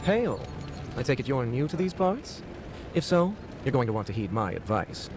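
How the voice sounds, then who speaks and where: Blunt, animated town guard. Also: male voice, mid-range voice, normal speed. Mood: wary warning. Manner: blunt, colloquial, cautioning. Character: gruff, wary local guard warning a newcomer. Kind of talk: video game character dialogue. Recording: game audio with music behind.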